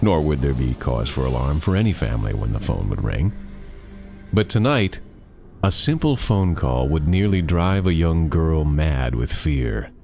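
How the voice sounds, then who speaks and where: Male storyteller, deep voice, dramatic scripted horror narration over a light music bed. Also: sing-song, a little slow, gravelly, a little mellow. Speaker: author and narrator. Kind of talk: scripted scary campfire storytelling.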